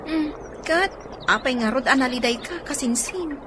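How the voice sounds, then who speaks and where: Very high-pitched, nosy young cousin. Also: female voice, animated, moderate pace, background noise. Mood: curious. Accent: Ilocano. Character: young woman cousin, chatty and curious. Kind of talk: Ilocano radio drama acting.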